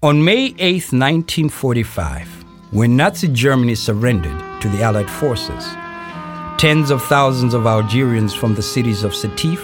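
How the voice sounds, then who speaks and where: A man playing a grave narrator of a historical radio play, reading scripted narration in a measured, deliberate, formal way with pauses. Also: mid-range voice, animated, lightly acted. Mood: solemn, factual. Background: music.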